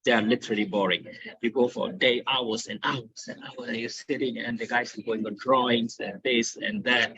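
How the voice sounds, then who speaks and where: A man's voice: mid-range voice, steady tone, moderate pace, sharp tone. A middle-aged man from India, a guest speaker, giving a training talk over a video call and telling stories with rising emphasis. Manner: earnest, storytelling with rising emphasis. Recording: slightly dull online call audio.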